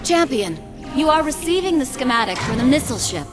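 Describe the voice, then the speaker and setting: An actress playing a formal, authoritative military leader in a video game, issuing mission messages. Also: female voice, high-pitched, very animated, a little fast. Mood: commanding. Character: formal female alliance military leader, commanding. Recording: game music behind.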